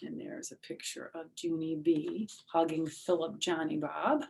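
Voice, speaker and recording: A woman's voice: deep voice, very animated, measured pace, gravelly, a little mellow. An older woman with an American accent, reading a children's story expressively with simple, chatty explanations for young listeners. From United States. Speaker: storyteller, former teacher.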